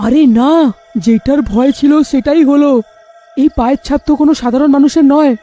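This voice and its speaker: A man's voice: very high-pitched, steady tone, brisk, warm tone, soft background music. A man voicing a village husband and father in a cartoon, exclamatory and easily alarmed. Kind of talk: cartoon voice acting.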